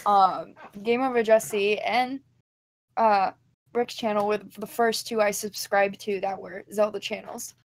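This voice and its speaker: A woman's voice: medium pitch, steady tone, measured pace, slight background noise. A young woman with a Southern US accent, chatting on a podcast in a rambling, giggly way. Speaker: podcast guest. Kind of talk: casual chat.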